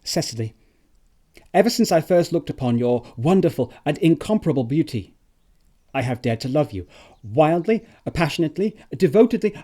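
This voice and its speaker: A woman plays a flippant young gentleman in love in a classic comedy audio drama. The voice is extremely low for a woman, with a dark, relaxed tone. The manner is suave and theatrical. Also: moderate pace. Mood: ardent, wistful.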